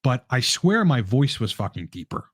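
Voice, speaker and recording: A man's voice: mid-range voice, big pitch swings, moderate pace, no echo, studio quality. A man talking casually as a podcast guest, rambling with lots of fillers, restarts and swearing. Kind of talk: casual chat.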